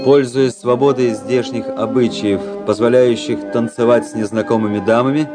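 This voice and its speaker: An actor playing a cool, courtly young officer in a radio play, speaking with measured, polished politeness. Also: male voice, medium pitch, steady tone, over loud music, lightly acted. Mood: reflective, sensual. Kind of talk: radio play narration and dialogue.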